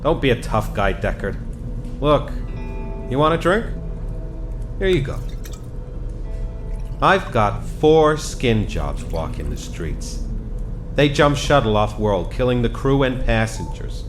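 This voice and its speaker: An actor playing a gruff, pushy police captain in his fifties, blunt and slangy, in a noir radio drama. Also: mid-range voice, sing-song, takes it slowly, long pauses, music in the background. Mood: pushy, cajoling.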